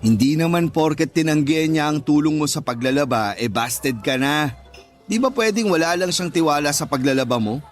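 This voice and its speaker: An actor playing a teasing best friend and kitchen coworker, mocking and jokey, in a Tagalog radio drama. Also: male voice, a little high, animated, normal speed, faint music behind, lightly acted.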